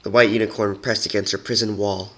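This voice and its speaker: Male narrator, forceful bright tone, slightly low pitch, dramatic scripted storytelling. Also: level tone, a little fast, poor audio.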